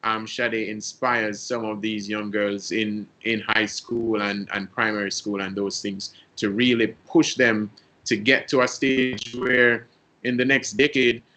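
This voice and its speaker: A man's voice: fairly low pitch, flat delivery, measured pace, pushed and bright, low-quality recording. A man with a Jamaican accent on a sports panel, thoughtful and joking, with lots of ums. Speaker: panel guest. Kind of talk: casual sports panel chat.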